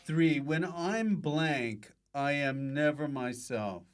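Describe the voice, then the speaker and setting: A man's voice: fairly high pitch, takes it slowly, soft background music. Easygoing male podcast host. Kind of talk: casual comic chat.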